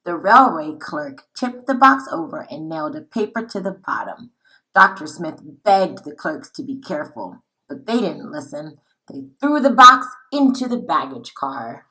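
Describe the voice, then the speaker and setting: A woman reading a children's picture book aloud like a teacher, in a dramatic, deliberate, expressive way. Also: on the low side, rough recording. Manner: dramatic, deliberate, with acted-out dialogue.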